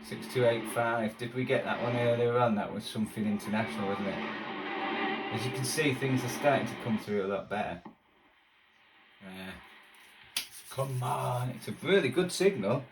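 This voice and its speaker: A man presents a casual hobby vlog in a mid-pitched voice. He rambles and mutters asides in a self-deprecating way. He sounds some distance from the mic, with music from a radio clearly playing behind him. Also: normal speed, pauses often.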